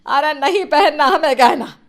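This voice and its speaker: A woman's voice: sits high, moderate pace, close to an everyday voice. A woman playing an exasperated, dramatic middle-aged mother-in-law who nags and scolds in an acted moral story. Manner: nagging, theatrical.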